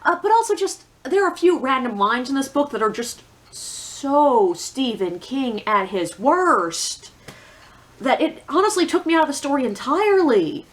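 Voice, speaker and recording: A woman with a fairly high voice talks casually, as in a video book review. She is blunt and sardonic, and she punctuates her opinions with emphatic, conversational asides. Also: very animated, normal speed.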